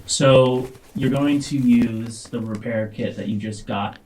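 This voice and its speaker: A man speaks as game master in a medium-pitched voice. He lays out scenes to his players in halting, deliberate second-person storytelling, with music faintly underneath. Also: steady tone, a little mellow. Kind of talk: narrating a tabletop roleplaying game.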